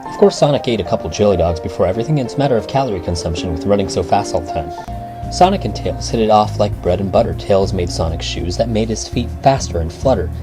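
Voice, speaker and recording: A man with a low voice reads a rhyming bedtime adventure. His delivery is theatrical and measured, in the manner of a storybook narrator. Music plays clearly under the voice. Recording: music behind the voice.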